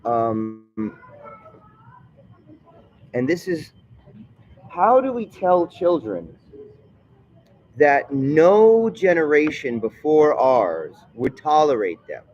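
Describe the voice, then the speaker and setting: A man talking in a casual vlog monologue, rambling and digressive, often halting mid-thought. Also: on the high side, very animated, darkish tone, highs cut off, slight background noise. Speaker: vlogger.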